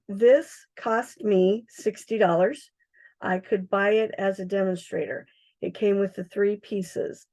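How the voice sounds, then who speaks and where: A middle-aged woman with a hoarse, froggy voice, chatting casually as she demonstrates craft supplies on a livestream, with many ums and uhs. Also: mid-range voice, darkish tone. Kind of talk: casual live product demonstration.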